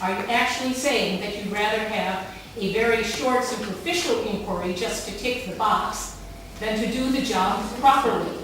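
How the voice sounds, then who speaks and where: A woman's voice: medium pitch, moderate pace, a bit bright. Formal, pointed woman in echoey hall. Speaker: member of the public asking questions. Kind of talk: prepared public question at a meeting. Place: large echoey town hall meeting room. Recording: echoey hall from far off, faint noise.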